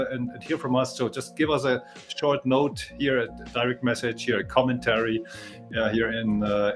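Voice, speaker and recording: A man hosting a casual live stream, haltingly, often repeating words as he talks. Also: mid-range voice. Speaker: live show host.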